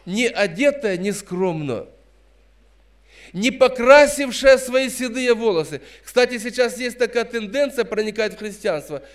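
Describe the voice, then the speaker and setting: A man's voice: very high voice, lively intonation, measured pace. A man in his fifties preaching a sermon in an emphatic, admonishing way, repeating phrases for stress. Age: 50s. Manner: admonishing, didactic.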